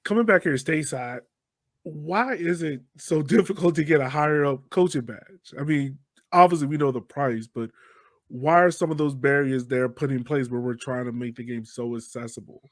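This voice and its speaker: A man's voice: medium pitch, normal speed, no room sound. Male podcast host, dry close sound. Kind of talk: casual interview questioning.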